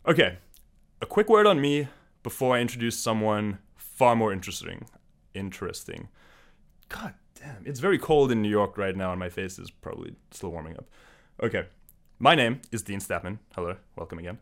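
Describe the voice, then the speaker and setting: Young male host from South Africa, low register, earnest scripted delivery with small stumbles. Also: expressive, moderate pace. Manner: earnest, with slips and self-corrections. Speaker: podcast host. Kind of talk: scripted podcast intro monologue.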